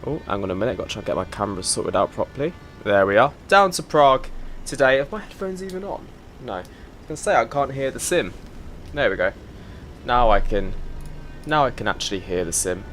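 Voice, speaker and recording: A man hosts a relaxed live stream, chatting away and greeting viewers one by one while he tinkers with his gear. His voice sits at a middling pitch and sounds a little stuffy from a slight cough. Faint music plays underneath. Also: brisk. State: slightly congested, a bit of a cough. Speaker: flight sim streamer. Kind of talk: casual live-stream chat.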